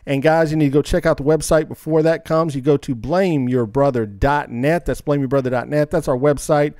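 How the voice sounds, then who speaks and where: A man's voice: a little high, steady tone, fairly quick, a little mellow, dry recording, clean studio sound. A man hosting a podcast, chatting and making announcements in an informal, rambling way. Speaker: podcast host. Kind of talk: casual chat, show announcements.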